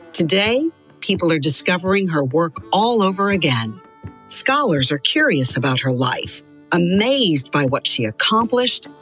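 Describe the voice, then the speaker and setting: Expressive woman narrating, low-key music behind. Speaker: podcast narrator. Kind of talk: scripted storytelling narration for children. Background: music under the voice.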